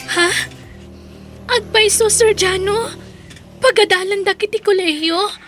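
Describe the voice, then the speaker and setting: A woman's voice: extremely high pitch, unhurried, over loud music. A woman playing a poor, meek seventeen-year-old daughter in an audio drama, with a plaintive way of talking. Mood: hopeful.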